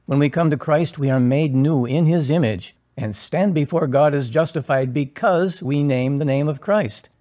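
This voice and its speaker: A man acting as an earnest parish priest in an audio drama. His voice sits a little high for a man, and he counsels calmly, in measured, pastoral, instructive phrases. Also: close to an everyday voice. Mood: earnest, encouraging.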